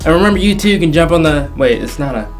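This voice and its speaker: A man's voice: on the high side, animated, fast talker. A man hosting a casual, jokey video countdown, speaking emphatically and repeating phrases for effect. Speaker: host of a video game movie countdown show. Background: faint background music.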